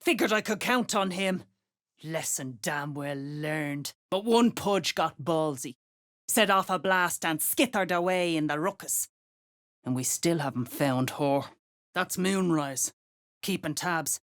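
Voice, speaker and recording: A woman playing a gruff, foul-mouthed female duergar overseer in a video game, curt and contemptuous. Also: low-pitched, takes it slowly. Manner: curt, cursing, contemptuous slang. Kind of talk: video game character dialogue.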